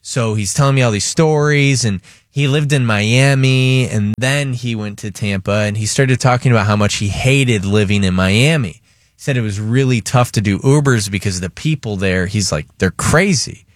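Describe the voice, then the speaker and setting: A man's voice: medium pitch, dry recording. Storytelling male radio host, dry studio. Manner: animated storyteller, quick asides. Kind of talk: casual morning radio banter.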